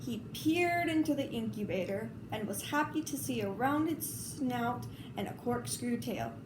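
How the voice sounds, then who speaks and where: A woman reading a children's story aloud in an expressive, informal way. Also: on the high side, measured pace. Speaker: storybook reader. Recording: roomy, clearly noisy home recording.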